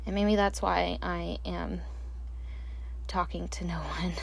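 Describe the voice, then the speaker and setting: Woman doing a solo podcast, slow and soft, calm soothing voice, talking into a mic alone. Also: lowish voice, gravelly. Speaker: podcast host. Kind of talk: solo reflective podcast monologue. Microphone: handheld or desk microphone, alone at night.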